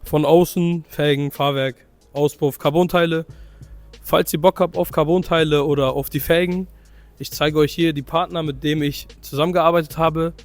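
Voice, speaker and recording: A young man with a slightly hoarse voice from a cold, chatting loosely through a walkaround of his car and correcting himself as he goes. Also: a little high, steady tone, normal speed, faint music behind. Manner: loose, chatty, full of fillers and self-corrections. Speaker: car vlogger showing his own car. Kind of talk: casual car walkaround vlog.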